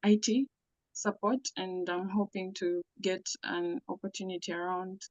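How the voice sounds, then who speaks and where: A woman from South Sudan introducing herself on a video call, soft-spoken and steady. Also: lowish voice, a little slow, dry recording. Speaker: event attendee, job seeker. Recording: dull lo-fi call audio.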